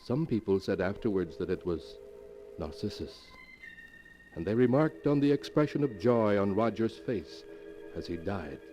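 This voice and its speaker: A man's voice: lowish voice, big pitch swings, moderate pace, dull audio, over music. A man narrating in the melodramatic scripted style of a 1940s radio announcer, on an old recording.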